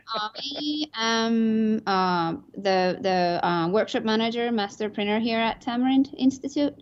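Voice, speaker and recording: A woman from Finland, Finnish her first language, talks casually as an interview guest. She has a dry, wry humor and pauses to search for words. The audio sounds a little dull and lo-fi. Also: fairly high pitch. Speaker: master printer guest.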